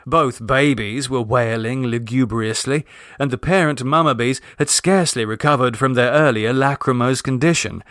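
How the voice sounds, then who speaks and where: A man's voice: medium pitch, moderate pace, fairly bright tone, dry recording, studio quality. A man reading a classic short story aloud with wry, theatrical storytelling. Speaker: audiobook narrator.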